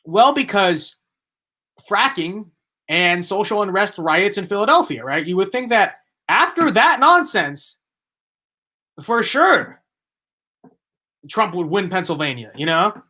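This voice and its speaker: Young male streamer, slightly high voice, rambling and emphatic, voice going hoarse. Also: very animated, slow, pauses often, pushed and bright. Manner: rambling, emphatic, repeats himself. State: losing his voice. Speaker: livestream political commentator. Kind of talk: live-stream commentary.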